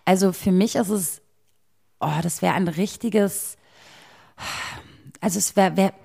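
A woman's voice: medium pitch, steady tone, unhurried, gravelly, warm tone, husky. A young adult woman chatting casually as a podcast co-host, in slangy speech sprinkled with English words. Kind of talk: casual chat.

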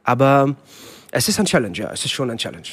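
Plaudernder Comedian, nicht-deutscher Muttersprachler. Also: male voice, medium pitch, sing-song, brisk, a bit bright, breathy edge. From half French, half Tunisian, raised in Germany. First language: French. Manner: chatty, many restarts and fillers, laughs easily. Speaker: comedian and actor, guest. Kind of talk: casual interview chat.

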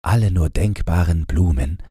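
A man narrating a bedtime fairy tale as an audiobook reader, calm and unhurried, with a low, husky voice. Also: dry recording, studio quality. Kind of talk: scripted fairy-tale narration for falling asleep.

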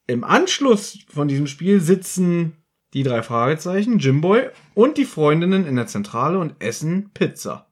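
A man's voice: fairly high pitch, very animated, moderate pace, husky. A man co-hosting a casual podcast chat, explaining an episode recap and checking for agreement. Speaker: podcast co-host.

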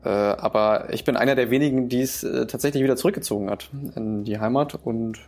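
A German man in his thirties speaking as a podcast guest, with his voice at a middle pitch. He talks in a loose, rambling way and leans on fillers as he thinks. A faint layer of music runs underneath. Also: fairly quick. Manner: relaxed, rambling, frequent fillers. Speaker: podcast guest, hotel owner. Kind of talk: casual interview chat.

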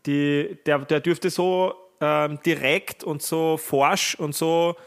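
A man's voice: fairly high pitch, fairly even intonation, slow, clean tone. A man co-hosting a casual podcast, explaining at length with hedges and restarts. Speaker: podcast co-host.

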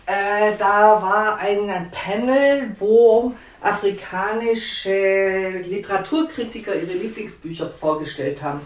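A woman's voice: medium pitch, slow pace, hardly any pauses, echoey room. A woman publisher answering interview questions in a hesitant, conversational way with frequent fillers and restarts.

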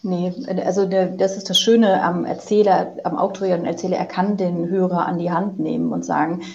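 A woman giving thoughtful interview answers as a writer, pausing to find her words. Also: lowish voice, level tone, creaky, lo-fi sound, background noise. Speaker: interviewed audio drama writer.

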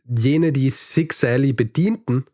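A man's voice: medium pitch, a little slow, dark tone, dry recording. A man hosting a podcast, telling history in a conversational, explanatory way with light dry humour. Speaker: podcast host.

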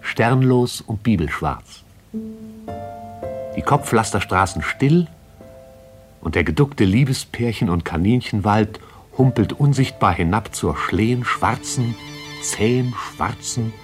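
An actor playing an all-knowing narrator in a poetic radio play, with a solemn, measured delivery. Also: male voice, on the low side, animated, soft background music. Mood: solemn, hushed. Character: all-knowing male narrator of a sleeping village.

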